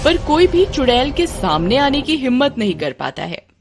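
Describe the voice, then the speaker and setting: A woman's voice: sits high, very animated, moderate pace, music in the background. A woman narrator reading a scripted story for children.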